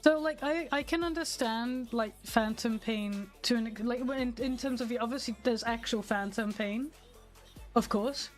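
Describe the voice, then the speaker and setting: A woman's voice: a little high, normal speed, faint music behind. A young adult woman streaming, chatting candidly with her viewers, a little nasal from a cold. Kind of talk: casual stream chat.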